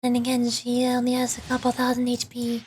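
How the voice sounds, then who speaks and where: High-voiced woman streaming a game. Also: monotone, a little fast, darkish tone, a little hiss or hum, low-quality recording. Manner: thinks aloud in short bursts. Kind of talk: casual gameplay commentary.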